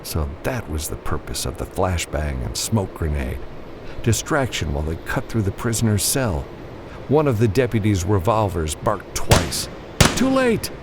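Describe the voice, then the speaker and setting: A man's voice: sits low, big pitch swings, moderate pace, background noise. A man narrating scripted action fiction as an audiobook reader, in a dramatic storytelling style.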